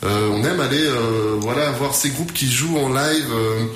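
A man's voice: medium pitch, measured pace, a bit bright, a little hiss or hum. A man from northern Portugal chats on a radio panel, stopping and restarting with fillers. His voice sits in a roomy studio with plenty of echo. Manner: hesitant, many fillers, joking. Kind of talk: casual radio chat.

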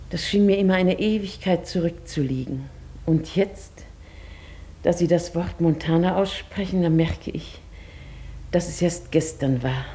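A woman's voice: low voice, warm tone. An actress in a German radio play playing an ailing, wistful older woman of modest means, proudly reserved and hesitant.

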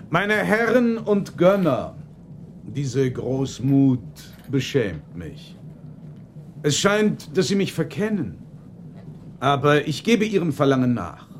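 An actor playing a mysterious, solemn magician in a radio play, speaking in a ceremonious, formal way. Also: male voice, fairly high pitch, slight background noise. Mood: humble, dignified. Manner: ceremonious, measured, formal address. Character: mysterious solemn male magician, grand and secretive. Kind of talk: German radio play acting.

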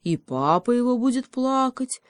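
A woman's voice: medium pitch, very animated, normal speed, mellow, dry recording, clean studio sound, voice pushed up, close to an everyday voice. A woman voicing a young male beaver cub in a children's story, lamenting with pretend pity. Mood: mock sorrow.

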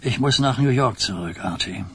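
An actor playing a grave, weary old mafia boss in a radio crime drama, an older man with a husky voice. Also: medium pitch, expressive, moderate pace. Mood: resigned.

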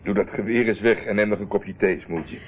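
A man's voice: fairly low pitch, brisk, noisy background, lightly acted. An actor playing a shrewd, teasing investigator in a radio play, wry and controlled as he probes. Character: shrewd, teasing male investigator, calmly pressing. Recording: muffled, narrow-band old radio recording.

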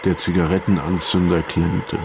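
Radio drama narrator: brooding, detached, very gravelly bass delivery, deliberate, over music. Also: male voice, flat delivery, noisy background. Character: brooding, detached male narrator of a bleak story. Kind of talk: slow literary radio play narration. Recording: music behind the voice.

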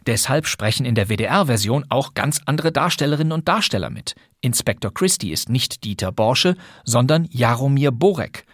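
A man's voice: medium pitch, lively intonation, moderate pace, dry recording, studio quality. A man hosting a podcast, presenting classic radio plays from a script in a chatty, wry way. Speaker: podcast host.

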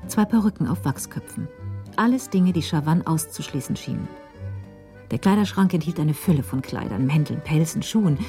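A woman narrating a radio crime drama in a measured, literary way. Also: low-pitched, expressive, mellow, faint music behind.

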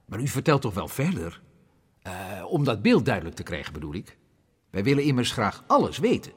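A man's voice: medium pitch, wildly swooping pitch, fairly quick, husky. A man playing an eager, apologetic government official in a radio drama, recorded in a long echoing reverb.

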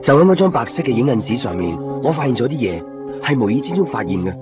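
A man's voice: mid-range voice, sing-song, fast, lightly acted. An actor playing an earnest, anxious young man in a Cantonese radio drama. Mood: quiet, intent. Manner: earnest, pleading. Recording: muffled 1990s radio recording with music behind.

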